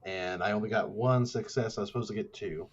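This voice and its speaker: A man's voice: lowish voice, a little fast, lo-fi sound, crowd noise. A man talking casually as a player on a tabletop roleplaying podcast, describing his moves. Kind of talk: casual tabletop game talk.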